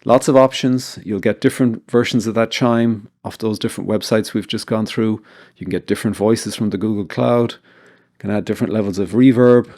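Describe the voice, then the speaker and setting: A man narrating an informal software tutorial as its host, explaining things step by step. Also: lowish voice, fairly even intonation, brisk.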